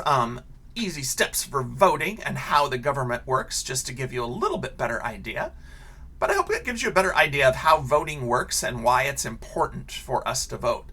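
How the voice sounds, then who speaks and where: A man's voice: mid-range voice, sharp tone, breathy edge. An American man speaking as an elementary school teacher, reading aloud and explaining things simply to young children.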